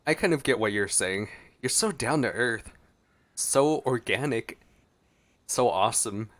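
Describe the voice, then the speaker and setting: A man's voice: medium pitch, animated, measured pace, fairly bright tone. A man giving casual gameplay commentary in a playful, gushing way. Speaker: streamer reacting to a game.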